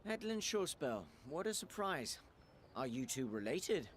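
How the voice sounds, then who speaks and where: A man's voice: on the high side, big pitch swings, measured pace, fairly bright tone, slight background noise. A man playing an inquisitive, skeptical adventurer in a fantasy game, pointed and dryly witty. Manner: questioning, dry, pointed. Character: skeptical, inquisitive male adventurer with dry wit.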